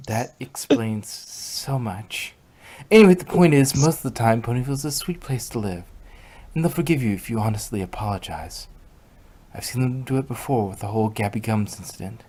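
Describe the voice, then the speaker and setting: An earnest, caring cartoon pony friend, played by a man in a mid-range voice. The delivery is gentle in intent, reassuring and slightly halting. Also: medium pitch, expressive, husky.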